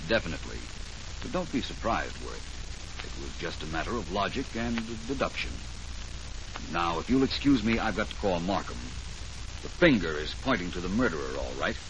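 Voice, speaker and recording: A low-voiced gentleman sleuth from a 1940s radio mystery. He is shrewd, courteous and sure of himself, with phrasing that swings widely in pitch. The old transcription is clearly noisy. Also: very animated. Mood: confident. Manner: measured, precise, courteous. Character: shrewd, self-assured gentleman detective, unruffled and wry. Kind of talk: 1940s radio detective drama acting.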